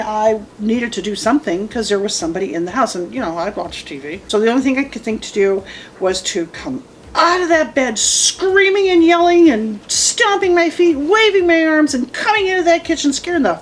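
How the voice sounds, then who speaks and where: A middle-aged woman casually telling a personal story, animated and emphatic, often repeating her phrases. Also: fairly high pitch, a little hiss or hum. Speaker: storytelling guest, mother of the host.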